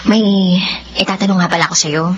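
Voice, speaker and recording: A woman's voice: fairly low pitch, fast, a bit bright, husky. A woman acting a tender, fearful young sweetheart in a romantic radio drama, hesitant and emotional. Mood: affectionate. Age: young adult. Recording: dull lo-fi audio with music.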